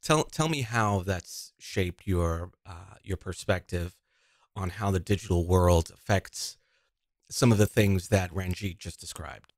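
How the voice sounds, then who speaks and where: A man hosts an interview podcast. His voice is very deep and sounds dry and close to the mic. He talks in a casual way and often restarts his sentences. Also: measured pace, dry recording. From New York. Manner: conversational, restarts his phrases. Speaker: host.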